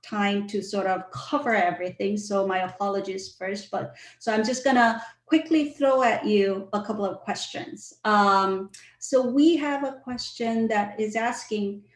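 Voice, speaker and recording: A woman hosting an online talk, moderating questions with soft hedging fillers. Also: medium pitch, measured pace, reverberant, poor audio. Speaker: host, moderator.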